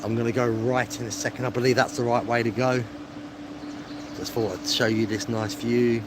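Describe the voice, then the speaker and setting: Bloke rambling away on a live stream outdoors, matey, medium pitch, traffic noise behind him. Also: male voice, level tone, normal speed. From England. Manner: chatty, rambling, matey, self-deprecating. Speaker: livestreaming travel vlogger. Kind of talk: casual live-stream chat. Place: outdoors on streets, walking.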